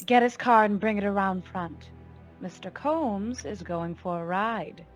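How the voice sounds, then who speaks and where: A woman's voice: medium pitch, soft background music, close to an everyday voice. An actress playing a cold, commanding female gangster boss in a noir radio play, taunting and full of threat. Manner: taunting, deliberate, imperious. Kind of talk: 1940s radio noir acting.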